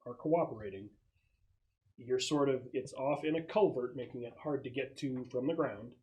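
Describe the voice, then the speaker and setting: A man's voice: medium pitch, normal speed, darkish tone. Man running a tabletop game. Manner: conversational, explanatory. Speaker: game master. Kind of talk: tabletop game narration, casual explaining.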